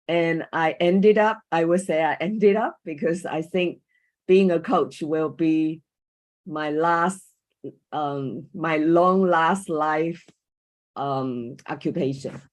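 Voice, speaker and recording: A middle-aged woman speaks unhurriedly in a lowish voice, a Chinese speaker presenting to an online panel. She chooses her words with care, filling her pauses with soft ums. The sound is that of a video call. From Taiwan. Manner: measured, thoughtful, pauses often with ums. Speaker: guest speaker, coach and former teacher.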